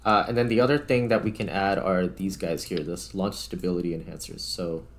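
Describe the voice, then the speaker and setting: A man's voice: low-pitched, fairly even intonation, fairly quick, a little hiss or hum. A man giving casual let's-play commentary as a gaming video host, thinking aloud in an informal way.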